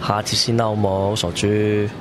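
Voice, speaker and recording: A man's voice: lowish voice, level tone, moderate pace, noisy background, lightly acted. A man playing an impatient, foul-mouthed young guy in a Cantonese radio drama. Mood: brisk. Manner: pushy, slangy.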